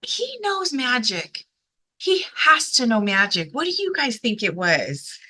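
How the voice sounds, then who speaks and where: A woman reading a story aloud to children in an expressive, emphatic way, addressing young listeners directly. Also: on the high side, a little slow.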